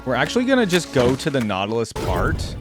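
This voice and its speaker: A man hosts a reaction video in a mid-pitched voice, with music playing loudly behind him. He talks casually, thinking aloud and posing musing questions as he comments. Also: wildly swooping pitch, brisk.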